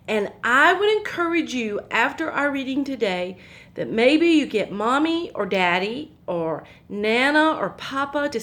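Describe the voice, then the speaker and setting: A woman hosting a children's story time, reading a Bible story aloud in a gentle, sing-song way. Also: fairly high pitch.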